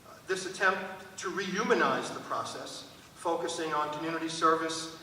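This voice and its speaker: Man, formal event opener, higher-pitched and forceful, recorded from afar in a very reverberant hall. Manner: formal, measured wording. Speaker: school administrator opening a panel event. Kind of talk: prepared welcome speech to an audience. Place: large echoing hall at a public event. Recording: echoey hall, distant mic, faint noise.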